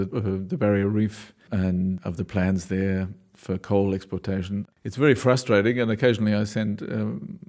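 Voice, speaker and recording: A man's voice: on the low side, a little mellow. A man from Australia, a dancer and choreographer, answering interview questions in a thoughtful, hesitant way with frequent restarts. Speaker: dancer and choreographer, class leader.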